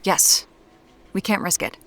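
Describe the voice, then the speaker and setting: An actress playing a brisk, evasive young woman amateur detective in an audio drama, dry and matter-of-fact. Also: lightly acted. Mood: evasive, hurried.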